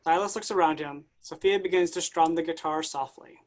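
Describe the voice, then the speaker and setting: Woman, lowish pitch, scripted narration, stage directions reader. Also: a little fast, over music.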